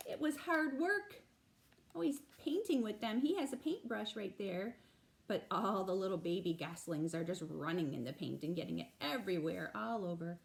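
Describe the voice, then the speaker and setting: A woman reading a picture book aloud to young children as a storytime librarian, expressive and emphatic, with explanatory asides. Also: medium pitch, normal speed, creaky. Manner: animated, emphatic, with explanatory asides to little listeners.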